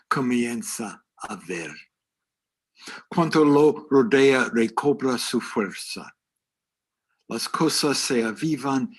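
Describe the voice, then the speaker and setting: A man with a husky voice giving a slow, deliberate poetry reading. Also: mid-range voice.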